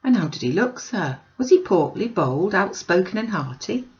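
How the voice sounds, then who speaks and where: A woman's voice: medium pitch, sing-song, normal speed, darkish tone, highs cut off, lightly acted. A woman voicing a chatty, eager old country woman with earnest, polite, rustic speech in a storybook reading. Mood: eager curiosity.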